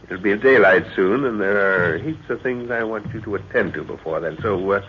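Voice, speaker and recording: An actor playing a seasoned expedition leader and father in an old radio drama, speaking with deliberate authority. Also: lowish voice, lightly acted. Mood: brisk, fatherly. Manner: authoritative, deliberate, thoughtful hums. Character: seasoned, commanding expedition leader and father, middle-aged or older. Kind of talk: 1940s radio acting. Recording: noisy, dull old radio recording.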